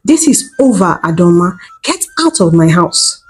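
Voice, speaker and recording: A woman's voice: lowish voice, very animated, measured pace, soft background music. A woman voicing a betrayed, angry village husband with an accusing, wounded manner in a folktale. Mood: cold anger.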